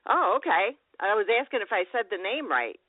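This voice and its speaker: A woman hosting a live radio interview from Chicago, chatty and quick to laugh. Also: medium pitch, sing-song, no room sound. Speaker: radio host. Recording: dry, tinny phone line.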